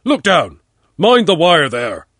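Man doing a gruff, husky storybook miller. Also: high-pitched, lively intonation, unhurried, sharp tone, no echo, studio quality, voice pushed up, exaggerated voice. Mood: warning. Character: gruff, threatening, dusty hairy miller man.

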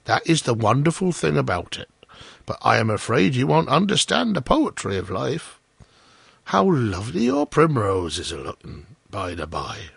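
Sanctimonious miller, read by a man: condescending storybook hypocrite, husky voice, dry close sound. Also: mid-range voice, sing-song, moderate pace, fairly bright tone, no echo, heavily acted. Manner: pompous, moralizing, condescending. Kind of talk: storybook character voice.

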